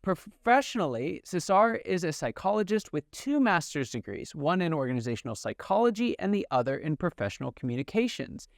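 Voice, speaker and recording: A man's voice: a little high, very animated, brisk, gravelly, few pauses, no room sound, clean studio sound. A man hosting a podcast, introducing his guest with enthusiasm. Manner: enthusiastic, upbeat. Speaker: podcast host.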